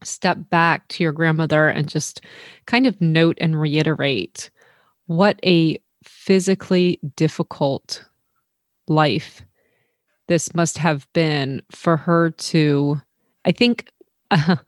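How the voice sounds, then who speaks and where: A woman hosting a podcast, asking thoughtful, measured questions and pausing to reflect. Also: low voice, fairly even intonation, dry recording. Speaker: podcast host. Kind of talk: interview questions.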